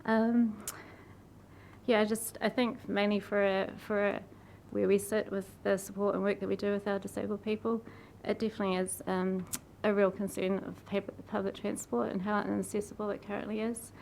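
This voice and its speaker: A woman with a croaky, gravelly voice reads a prepared submission to a council meeting. Her pitch stays flat and even. She speaks earnestly and apologizes for her stumbles. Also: normal speed, slight background noise. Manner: earnest, apologetic, laughs nervously at slips. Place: council chamber.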